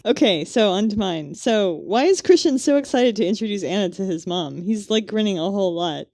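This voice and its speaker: A woman's voice: medium pitch, animated, brisk, darkish tone, no echo. A woman co-hosting a podcast in casual, conversational chat. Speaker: podcast co-host.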